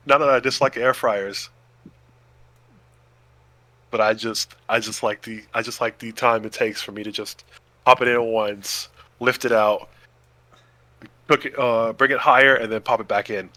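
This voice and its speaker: A man's voice: medium pitch, steady tone, unhurried, pauses often, bright, forceful tone, dry recording. A man chatting casually and enthusiastically as a podcast co-host. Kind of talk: casual chat about food.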